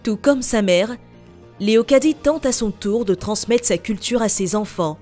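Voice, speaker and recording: A woman from Côte d'Ivoire, reading a scripted TV news voice-over in an even, measured way. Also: medium pitch, soft background music. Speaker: TV reporter.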